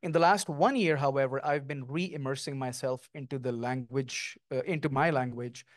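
A middle-aged man, a guest author, speaking thoughtfully and lyrically with many pauses at an onstage conversation, on a handheld mic. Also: mid-range voice, dry recording, studio quality. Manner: thoughtful, lyrical, with frequent ums. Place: small stage before an audience, indoors.

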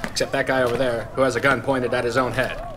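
A man's voice: medium pitch, flat delivery, fast, close to an everyday voice. Man playing a cocky reporter, noisy street ambience. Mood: blasé. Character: brash, cocky, sleazy veteran male tabloid reporter. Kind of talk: comic audio drama acting. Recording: clear urban background noise.

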